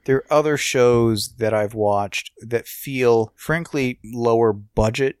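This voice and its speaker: A man co-hosting a podcast, chatting casually about a TV series in a halting way, often restarting and rephrasing as he goes. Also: medium pitch, darkish tone, clear, resonant tone, no echo, studio quality. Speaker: podcast co-host.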